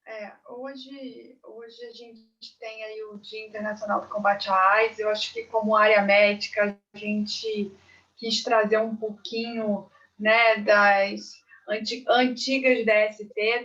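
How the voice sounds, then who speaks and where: Gynecologist lady explaining on a video call, flat-toned, audio dull and far from the mic. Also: a little high. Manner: patient, explanatory, many "né"s.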